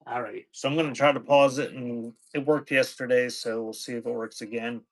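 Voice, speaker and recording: A man hosting a livestream auction, chatting casually in a rambling, halting way. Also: medium pitch, fairly even intonation. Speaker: livestream auction seller, host.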